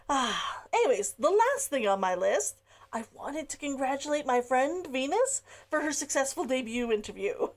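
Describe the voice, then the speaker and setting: Author reading own novel, woman, high and lively, expressive, sighs and laughs. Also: a little slow. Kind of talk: read-aloud narration and chatty asides.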